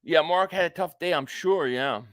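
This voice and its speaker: A man in his late forties with a notably high voice, chatting loosely through a live stream and drifting into jokey asides between viewer comments. The sound is dry and close, with no room around it. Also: moderate pace, a bit bright, dry recording. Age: 40s. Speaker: live stream host reacting to a football game. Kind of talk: casual live stream chat, reading viewer comments aloud.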